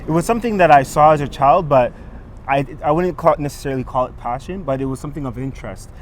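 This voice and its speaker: A young Canadian man, a police officer, answering interview questions in an easygoing, relaxed way. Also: fairly high pitch, fast talker. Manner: relaxed, easygoing, laughs easily. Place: outdoors on a city street. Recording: street noise with passing cars. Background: clear traffic noise.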